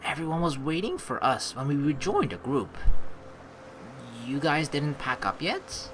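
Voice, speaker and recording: Animated low-voiced woman doing let's play commentary. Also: measured pace, slight background noise. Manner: animated, chatty, theatrical reactions. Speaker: gaming video host. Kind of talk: let's play commentary and read-aloud game narration. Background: faint game music.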